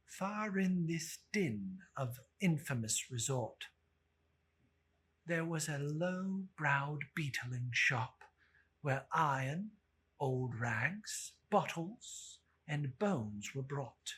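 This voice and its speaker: A woman giving a dramatic literary narration, theatrical and deliberate in her emphasis. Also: low-pitched, slightly rough. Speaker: storyteller.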